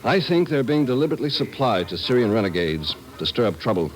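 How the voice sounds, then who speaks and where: An actor playing an earnest, heartfelt Israeli airline part-owner and former refugee in a 1950s radio drama. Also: male voice, mid-range voice, sing-song, fast talker, lightly acted, low-quality recording. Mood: grave, worried. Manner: earnest, heartfelt, hesitant at times. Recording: vintage radio recording with background noise.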